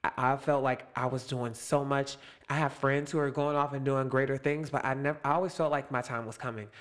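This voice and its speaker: A man's voice: medium pitch, steady tone, a little fast, fairly bright tone. A man in his twenties hosting a casual interview, reflective and encouraging, acting out his own thoughts as he talks. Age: 20s. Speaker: host.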